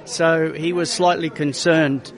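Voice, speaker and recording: A man, a racing driver being interviewed, who tells his story in a lively way and acts out quotes with many restarts. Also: on the high side, slow pace, crowd noise.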